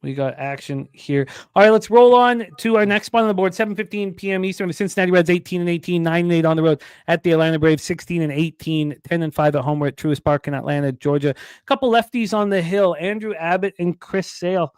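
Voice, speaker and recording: A man hosts a sports betting broadcast, his voice a little high and smooth. He runs through odds and stats in a steady patter with filler words. The sound is dry and close to the mic. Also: fast talker, no echo. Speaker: sports betting show host. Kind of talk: sports betting radio talk.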